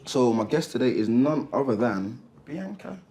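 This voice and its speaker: Slangy laid-back podcast host. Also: male voice, medium pitch, big pitch swings, moderate pace. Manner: casual slang-heavy, laughs loudly, repeats himself. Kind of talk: casual interview chat.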